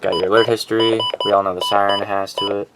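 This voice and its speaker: A man's voice: on the low side, level tone, quick pace, very noisy. A man casually and informally presenting his collection in a rambling, unscripted vlog.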